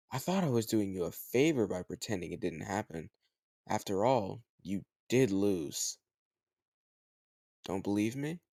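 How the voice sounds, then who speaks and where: Low-voiced teasing male tutor, acted by a man. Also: dry recording, studio quality. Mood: playful, sly. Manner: conversational, teasing, gently chiding. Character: teasing, slightly older male home tutor, patient but sly. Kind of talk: intimate roleplay audio drama addressed to the listener.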